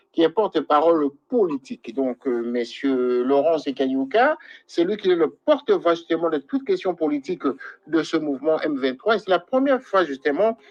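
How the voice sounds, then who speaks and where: A man hosting and closing a news interview as a journalist, formal and filling his pauses with "euh". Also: a little high, lo-fi sound. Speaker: journalist host.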